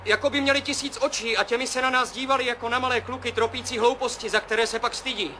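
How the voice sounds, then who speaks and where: An actor playing an awed, rambling test pilot in a 1970s radio play, musing in halting short phrases. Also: male voice, extremely high pitch, fairly even intonation, sharp tone. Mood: uneasy awe. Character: male test pilot, awed, rambling, seeing strange visions. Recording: noisy 1970s radio drama, engine drone.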